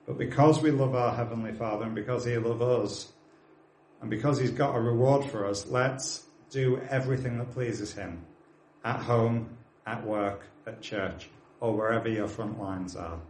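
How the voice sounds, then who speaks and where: A man's voice: medium pitch, fairly even intonation, normal speed, a little mellow, echoey room, slight background noise. A man of forty with a British accent, preaching a sermon, earnestly urging his congregation and dropping in plain, friendly asides. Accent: British English. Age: 40s.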